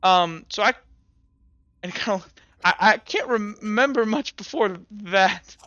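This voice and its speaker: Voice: mid-range voice, a little slow, sharp tone, no echo, lo-fi sound. A game master voicing a hesitant, uneasy teenage boy who stammers, in a tabletop roleplaying game. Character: hesitant, awkward teenage boy, a former castaway.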